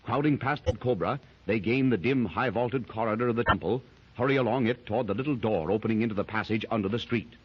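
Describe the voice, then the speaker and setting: A man's voice: on the low side, quick pace, a little hiss or hum. A man narrating a 1930s radio adventure serial in a dramatic style that rises with the action. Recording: dull lo-fi 1930s radio recording.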